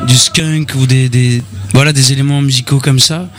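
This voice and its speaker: Musician guest, male, earnest and halting, mid-range voice, faint music underneath. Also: mid-range voice, steady tone, fairly bright tone. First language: French. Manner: hesitant, earnest, many repeated words. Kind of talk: radio interview.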